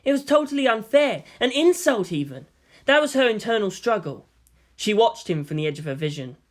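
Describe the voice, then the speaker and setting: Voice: medium pitch, very animated, fairly quick. A narrator giving a dramatic scripted reading of a story.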